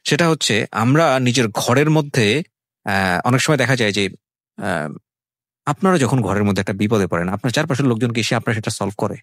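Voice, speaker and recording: Bangladeshi man hosting, animated pitch swings. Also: fairly quick, no room sound, studio quality. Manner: earnest, opinionated, mixes in English phrases. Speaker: show host. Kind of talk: reflective interview commentary.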